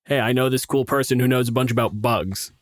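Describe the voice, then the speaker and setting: A man's voice: medium pitch, fairly even intonation, brisk, no room sound. A young man chatting casually as a podcast co-host, self-deprecating and sweary, telling stories with quoted asides. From Canada. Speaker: podcast co-host and game master.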